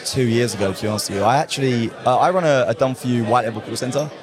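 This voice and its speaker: A young English man talking casually and excitedly as a guest in an interview at a trade event, often laughing. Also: medium pitch, very fast. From England. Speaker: guest, call center company owner. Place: busy conference floor. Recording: noisy crowded event hall. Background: crowd chatter.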